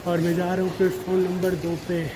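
A man narrates a casual travel vlog in halting, repetitive short phrases. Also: sits high, completely flat delivery. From Uttar Pradesh, India. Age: 20s. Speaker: travel vlogger. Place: outdoor railway platforms and inside a train. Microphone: phone at arm's length. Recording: loud railway station and train noise.

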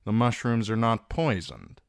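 A man's voice: lowish voice, big pitch swings, measured pace, gravelly, clear, resonant tone, no room sound, voice pushed up, exaggerated voice. A male narrator voicing a bitter, sardonic dwarf lord who speaks in a dry, wry, curt way. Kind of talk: audiobook character reading.

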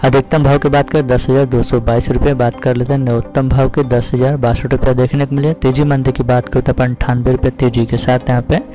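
A man's voice: medium pitch, little pitch movement, brisk, few pauses, mellow. A man hosting a market update, reading out prices as scripted narration in a formulaic, repetitive recital. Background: music.

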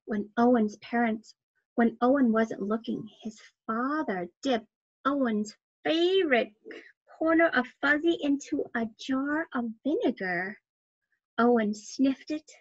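A middle-aged woman reads a picture book aloud in a high voice, taking her time over each phrase. She tells it expressively, like a storytime reader. The recording is dry and a little dull, as from a webcam. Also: dry recording. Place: small indoor room with bookshelves.

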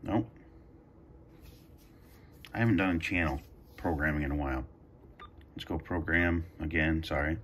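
A man's voice: extremely low pitch, unhurried, long pauses, a bit bright, slight background noise. A man giving a casual, improvised how-to, hesitant and thinking aloud as he demonstrates a device. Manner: hesitant, thinking aloud while pressing buttons. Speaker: video host demonstrating a radio scanner. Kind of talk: casual tutorial talk, improvised. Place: carpeted room indoors at home.

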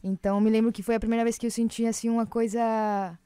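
A young woman from Colombia speaks Portuguese with a Brazilian lilt into a studio microphone. Her voice sits a bit high, and the sound is close and dry. She talks casually, laughs easily and pauses at times to find the right word. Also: flat delivery, warm tone, clean tone, dry recording. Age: 20s. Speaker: guest singer. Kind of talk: casual radio interview. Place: radio studio. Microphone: studio mic with headphones.

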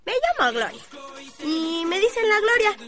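A woman's voice: extremely high pitch, big pitch swings, moderate pace, loud music behind the voice. A woman with a Mexican accent playing a brash, mocking fifteen-year-old schoolgirl in a radio drama, teasing in slang. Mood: playful.

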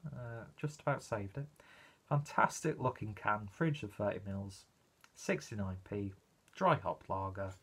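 Husky, chatty British man. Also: on the low side, measured pace. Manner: chatty, self-deprecating, sweary. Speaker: beer reviewer presenting own video channel. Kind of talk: casual, rambling vlog review.

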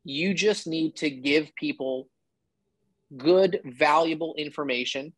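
A man's voice: on the high side, takes it slowly, no room sound, rough recording. A man in his thirties giving casual, emphatic and blunt advice as a coach on a one-on-one video call. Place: indoor room, video call.

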